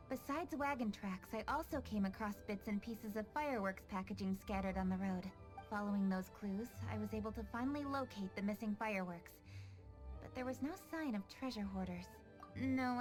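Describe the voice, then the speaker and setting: A woman's voice: on the high side, natural voice. A woman playing a young, composed, determined official in a video game, speaking in a formal, commanding way. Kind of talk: animated video game acting.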